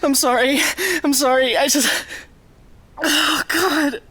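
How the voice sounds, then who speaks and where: A woman's voice: high voice, slow, a bit bright. An actress playing a frightened, self-critical young woman who stammers and panics as she records herself. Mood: flustered. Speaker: audio drama character recording herself. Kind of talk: audio drama acting.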